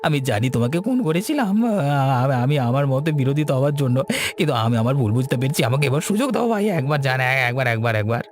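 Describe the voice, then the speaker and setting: A man voicing an excitable, cowardly village villain in a melodramatic cartoon. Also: mid-range voice, quick pace, close to an everyday voice. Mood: terrified, pleading. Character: scoffing village villain, a cowardly murderer begging for life.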